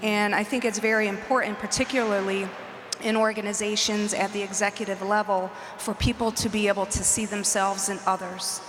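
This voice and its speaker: Woman executive on a panel, even, steady delivery with little pitch movement, conference hall reverb. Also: fairly quick, background noise. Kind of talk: panel discussion. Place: large conference hall stage. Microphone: handheld mic. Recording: roomy hall reverb, faint ambience.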